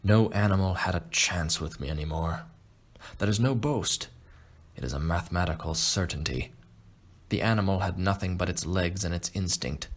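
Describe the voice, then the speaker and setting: A man playing a refined, boastful aging Russian aristocrat and hunter in an audiobook, speaking in a measured, pompous way. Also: sits low. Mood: self-assured. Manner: pompous, measured, self-satisfied. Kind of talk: audiobook character acting.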